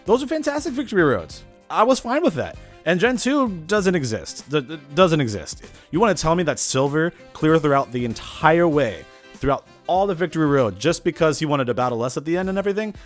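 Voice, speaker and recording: A man giving a casual, scripted voice-over for a video game challenge, with slangy, animated asides. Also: fairly high pitch, fairly quick, faint music behind. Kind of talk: casual scripted gameplay narration.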